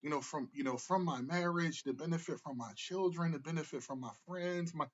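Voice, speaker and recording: Husky-voiced man in his forties, lo-fi. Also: a little high, brisk. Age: 40s. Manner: casual, repetitive, tag questions. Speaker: therapist. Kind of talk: motivational talk. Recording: muffled lo-fi recording.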